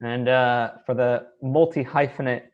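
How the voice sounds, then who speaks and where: A man hosting a casual interview, speaking in an earnest, hesitant way with halting phrasing. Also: medium pitch, steady tone, muffled recording. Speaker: interview host. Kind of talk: casual one-on-one interview conversation.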